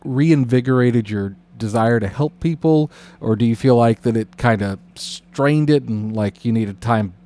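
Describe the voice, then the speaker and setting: A man hosting a casual podcast interview, talking in a halting, rambling way with roundabout questions. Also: mid-range voice, dark tone.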